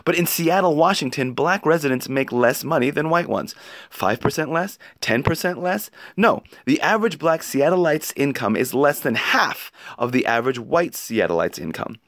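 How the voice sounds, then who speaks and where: A man reading an opinion essay aloud in an expressive, emphatic and rhetorical way. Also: fairly high pitch, normal speed, dry recording, clean studio sound. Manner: emphatic, rhetorical delivery with pointed questions. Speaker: narrator reading an article aloud.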